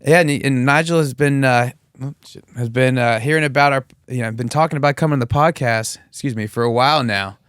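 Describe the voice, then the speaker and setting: A man co-hosting a podcast in casual chat, informal and teasing, with halting, repeated phrases. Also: mid-range voice, fairly bright tone, smooth, no room sound, studio quality. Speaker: podcast co-host.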